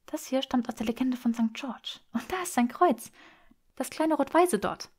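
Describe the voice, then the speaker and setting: A woman performs an eager, bookish young woman in a storybook reading. Her voice leaps upward with excitement and tumbles along in breathless, emphatic bursts. She gushes with delight, as if she can barely hold it in. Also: sits high, moderate pace, voice pushed up. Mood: fascinated, eager. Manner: breathless, gushing, emphatic delivery. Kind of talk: acted audiobook dialogue.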